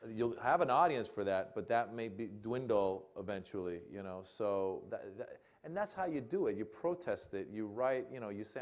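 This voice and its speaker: A man with an American accent speaking as a comedian guest on a panel, casual and full of fillers. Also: on the low side. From Iran, raised in America. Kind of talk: on-stage public discussion.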